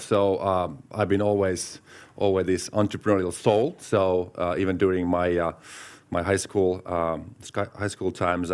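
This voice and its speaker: Deep-voiced man, modest and hesitant. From Finland. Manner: modest, hesitant with repeated words. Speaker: entrepreneur and panel speaker. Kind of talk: personal story told on a panel.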